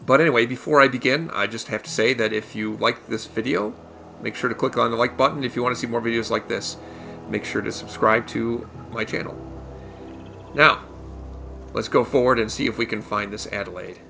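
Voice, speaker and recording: Male let's play host, casual commentary, gravelly from a cold, bright edge, close USB desk mic. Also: medium pitch, a little fast. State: fighting a cold. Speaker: gaming channel host. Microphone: USB desktop condenser microphone. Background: game music.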